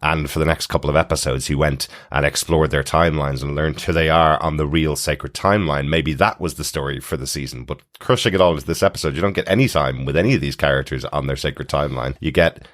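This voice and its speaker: A man's voice: extremely low pitch, quick pace, hardly any pauses, a bit bright. A man co-hosting a podcast, talking conversationally through a television episode. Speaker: podcast co-host. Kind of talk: TV recap podcast discussion.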